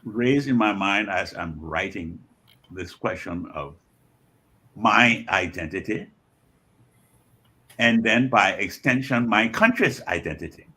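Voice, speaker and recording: An elderly man with a Liberian accent talks in a reflective interview, his voice bright and forceful but husky. He phrases his thoughts deliberately, pausing and repeating words as he goes, and sits fairly low in pitch. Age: 70s or older. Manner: measured, deliberate phrasing with frequent pauses and repetitions. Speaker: guest author and scholar.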